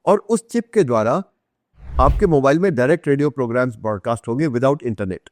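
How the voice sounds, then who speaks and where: Male podcast guest, Indian accent, mid-pitched, articulate explainer who repeats for emphasis. Dry recording. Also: lively intonation, brisk, a little mellow, no room sound. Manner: articulate, emphatic repetition, Hindi mixed with English terms. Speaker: author guest. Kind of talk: podcast interview, explanatory talk.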